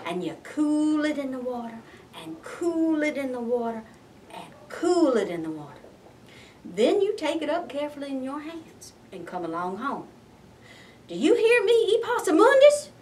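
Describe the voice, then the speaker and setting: A woman's voice: sits high, very animated, moderate pace, a little mellow, far from the mic, slight background noise. A woman voicing an exasperated, scolding possum mama in a folksy, emphatic storybook style.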